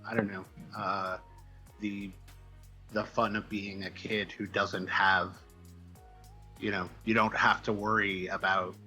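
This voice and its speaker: A man speaking as a guest writer in a casual interview, answering in a hesitant, halting way with trailing asides. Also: lowish voice, pushed and bright, low-quality recording. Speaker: comic book writer, guest.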